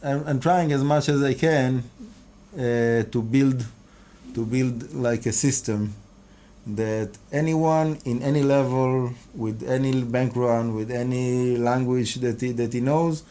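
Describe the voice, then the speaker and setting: A rabbi whose first language is Hebrew, answering interview questions in English in a halting, earnest way, often restarting and repeating words. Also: male voice, medium pitch, fairly even intonation, dark tone. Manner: earnest, devout phrasing. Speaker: rabbi and kabbalah teacher.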